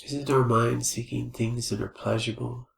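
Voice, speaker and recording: Gravelly man guiding meditation in echoey room. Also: medium pitch, fairly even intonation. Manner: gentle, unhurried invitations with pauses. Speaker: meditation teacher. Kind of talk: guided meditation. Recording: roomy, echoing space.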